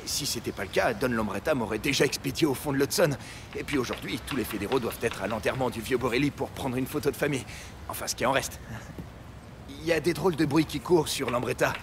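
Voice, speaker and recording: A male actor as a streetwise hot dog seller in a crime audio drama. He is familiar, insistent and a bit wheedling, in a medium-pitched voice. Clear street noise surrounds him. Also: quick pace, lightly acted. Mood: wheedling, gossipy. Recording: noisy street ambience.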